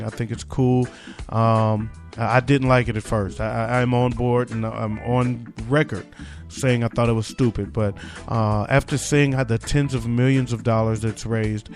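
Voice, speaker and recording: Chatty joking male podcast host. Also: medium pitch. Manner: chatty and joking, many "uh" fillers. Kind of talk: casual solo news commentary.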